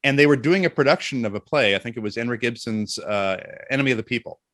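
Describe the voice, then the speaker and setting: A middle-aged man chatting casually as an interview guest, telling jokey anecdotes in an animated way. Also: mid-range voice, fast talker, dry recording.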